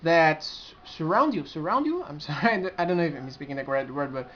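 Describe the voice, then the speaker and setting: A man's voice: a little high, lively intonation, a bit bright. A young man with a strong Brazilian accent speaks to his camera in a sincere, searching way. He stops and restarts his sentences often. The sound is muffled and lo-fi, as from a cheap camera's built-in mic. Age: 20s. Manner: earnest, halting, with frequent restarts. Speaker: community administrator. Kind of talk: casual vlog to camera. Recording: dull lo-fi camera audio.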